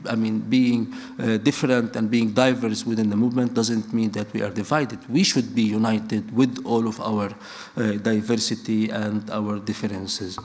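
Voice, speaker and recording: A man's voice: fairly low pitch, level tone, moderate pace, few pauses, darkish tone. A middle-aged man who grew up in Lebanon and speaks natively, giving an earnest public talk with frequent restarts and repeated phrases. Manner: earnest, building points through repetition, frequent restarts.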